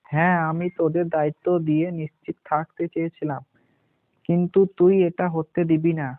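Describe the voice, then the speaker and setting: A man's voice: fairly high pitch, level tone, normal speed, phone-quality sound, lightly acted. A man voicing an ageing, weary, stern but caring shop-owner father in a cartoon, in an admonishing way. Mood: scolding. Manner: admonishing, instructing.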